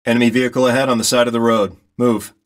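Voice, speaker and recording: A man's voice: mid-range voice, flat delivery, fast, natural voice. Man playing a clipped, commanding military pilot. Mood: urgent. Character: steady male military reconnaissance pilot directing a ground squad. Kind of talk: terse military radio commentary, game acting.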